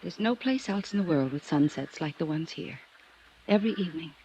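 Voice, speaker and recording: An actress playing a lonely, troubled wealthy young woman in a 1950s radio drama, wistful and evasive, sharp when pressed. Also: deep voice, expressive, fairly quick, a little hiss or hum. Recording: muffled old radio broadcast.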